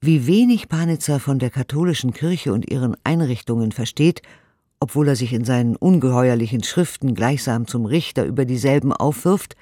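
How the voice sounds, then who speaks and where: A man's voice: medium pitch, expressive, moderate pace, mellow, no room sound, studio quality, close to an everyday voice. A male actor playing a disapproving, pompous and pious bourgeois critic, reading out his verdict in a radio play. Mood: stern disapproval.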